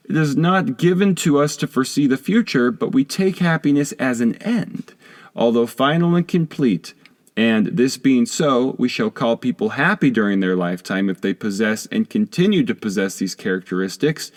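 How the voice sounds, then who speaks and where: A man narrating a philosophy audiobook in a measured, formal, lecturing manner. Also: medium pitch. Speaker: audiobook reader. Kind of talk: scripted narration of a philosophy text.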